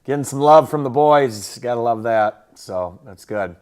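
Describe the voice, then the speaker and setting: A man who coaches football, casually recapping a game and its highlights in colloquial coaching slang. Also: lively intonation, a little slow.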